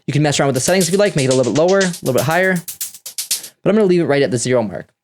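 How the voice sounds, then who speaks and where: A man's voice: a little high, lively intonation, very fast. A man hosting a music production tutorial, talking through the steps in a casual, instructional way.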